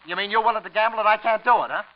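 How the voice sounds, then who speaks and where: High-pitched hard-boiled radio prosecutor played by a man. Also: racing, natural voice. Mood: menacing. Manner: slangy, theatrical, goading. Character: hard-boiled, needling male prosecutor and blackmailer. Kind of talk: 1940s radio acting. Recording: dull, noisy old radio recording.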